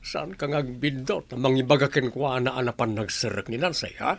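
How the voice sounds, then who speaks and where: A man's voice: medium pitch, a little fast, gravelly. A man with an Ilocano Filipino accent playing a crabby, snobbish scold in a comedy radio drama. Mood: irritated scolding.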